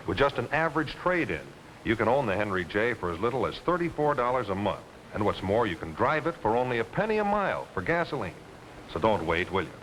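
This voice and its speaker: A man's voice: lowish voice, a bit bright. Male American announcer for a vintage TV commercial: folksy, emphatic, swooping delivery, some hiss on the soundtrack. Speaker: TV commercial announcer for car dealers. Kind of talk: 1950s live television sales pitch. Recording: noisy vintage 1950s television soundtrack.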